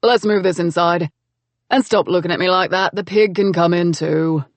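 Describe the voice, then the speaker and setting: A woman doing a gruff, blunt grown man. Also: on the low side, moderate pace, no room sound, lightly acted. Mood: brusque. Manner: blunt, swearing, dry.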